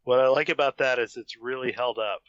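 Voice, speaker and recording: A mid-pitched man with a bright, forceful voice chats casually in a wry, self-deprecating way. The recording is slightly dull. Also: brisk, no room sound. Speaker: podcast guest, author. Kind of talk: casual podcast chat.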